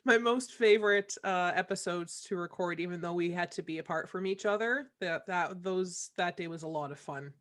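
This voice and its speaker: A woman talking casually as a voice actor in a cast discussion, haltingly circling back to rephrase. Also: fairly low pitch, fairly bright tone. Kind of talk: casual chat.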